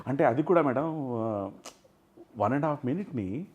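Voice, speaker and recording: Male, film industry guest, interview talk, frequent English phrases, medium pitch. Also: expressive, takes it slowly, muffled recording, a crowd in the background.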